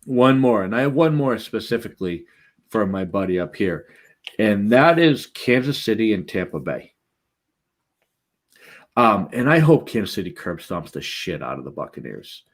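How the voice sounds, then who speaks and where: A man's voice: lowish voice, very animated, moderate pace. A man with a Boston accent, joking and trash-talking while co-hosting a casual sports chat. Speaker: podcast co-host.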